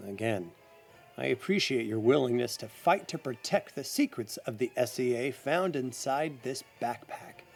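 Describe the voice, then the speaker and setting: An actor playing a brisk, guarded, confident adventurer and heir in an audio adventure drama, with theatrical, expository delivery. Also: male voice, mid-range voice, expressive, gravelly, faint music behind. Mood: earnest, explanatory.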